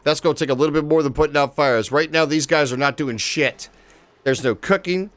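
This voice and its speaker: Excitable man streaming a game. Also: fairly high pitch, a little fast. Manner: excitable, swears casually, talks to chat. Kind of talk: casual live-stream commentary.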